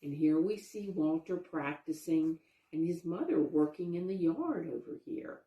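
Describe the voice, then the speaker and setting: A woman reading a children's picture book aloud, pausing to explain things and ask questions for young listeners. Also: sits low, lively intonation, lo-fi sound. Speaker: storybook reader, teacher-like narrator. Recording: roomy, echoey sound.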